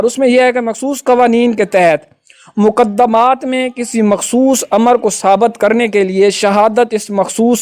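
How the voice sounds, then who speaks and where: A man's voice: high voice, darkish tone. A man with a Pakistani accent giving an informal explanatory law lecture, often adding little tags to check that listeners follow.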